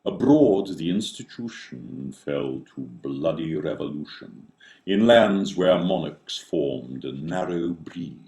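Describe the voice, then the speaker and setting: A man reciting comic rhyming verse theatrically, in a wry, mock-grand manner with drawn-out dramatic phrasing. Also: low voice, vocal fry, darkish tone. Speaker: author reading own satirical verse.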